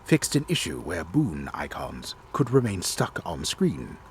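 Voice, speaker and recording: Deep, theatrical male storyteller. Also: wildly swooping pitch, a little hiss or hum, full character voice. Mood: solemn, dramatic. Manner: theatrical, deliberate, solemn delivery. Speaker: narrator. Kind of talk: dramatic reading, scripted game narration.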